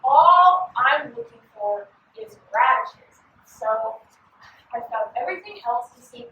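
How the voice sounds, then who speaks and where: An echoey, distant recording of a very high-voiced shopper played by a woman. She is folksy, chatty and relentlessly polite, a cheerful pest in a comic play. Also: lively intonation, a little slow, lo-fi sound, a little hiss or hum. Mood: cheerfully insistent. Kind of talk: stage comedy acting.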